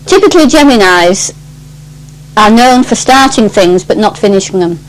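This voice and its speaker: An Englishwoman in about her sixties with a mid-pitched voice. She introduces herself in a clear, composed way, in full and orderly sentences. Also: lively intonation, normal speed, rough recording. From Cumbria, England. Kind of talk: prepared self-introduction.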